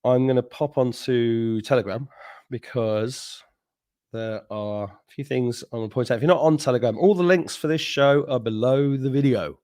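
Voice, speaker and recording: A man's voice: mid-range voice, moderate pace, dark tone. Chatty, jokey man hosting live. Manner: chatty, jokey, laughs often, rambling asides. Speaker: host. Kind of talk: casual live-stream chat, greeting viewers.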